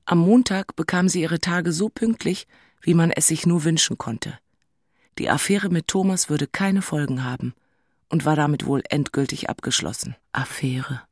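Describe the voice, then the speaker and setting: A woman's voice: low-pitched, normal speed, no room sound, studio quality. An actress playing an exasperated, lovesick single city woman in a radio play, narrating with blunt, self-mocking asides. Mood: wry, bitter.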